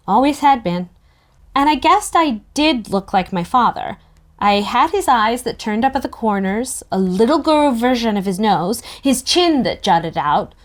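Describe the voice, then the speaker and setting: Lively, higher-voiced woman narrating a story. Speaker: narrator in a table read. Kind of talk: expressive first-person novel narration.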